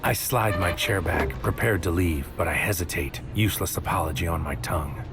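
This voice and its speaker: Deep male lead for sci-fi audio drama: anxious, introspective, clipped poetic delivery, scored with music. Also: a little fast. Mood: dejected. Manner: terse, broody, poetic fragments. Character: anxious, brooding man, troubled agent narrating his own story. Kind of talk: first-person noir audio drama narration.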